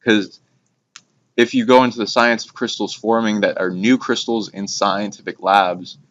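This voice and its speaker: A man talking casually and haltingly, rambling through abstract esoteric ideas in long trailing phrases. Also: on the low side, steady tone, a bit bright. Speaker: speaker on esoteric topics. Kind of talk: casual rambling spiritual discussion. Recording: dull lo-fi recording with clear background noise.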